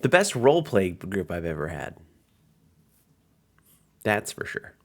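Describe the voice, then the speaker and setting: A man's voice: low voice, very animated, a little slow. A man speaking informally and chattily as a game master, narrating and commenting on a tabletop campaign.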